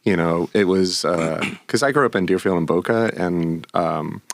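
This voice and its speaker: A man from South Florida, a guest musician, chatting in a relaxed, easygoing way in a studio. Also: sits low, moderate pace, vocal fry, no room sound. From South Florida, USA. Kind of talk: casual chat. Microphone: studio mic.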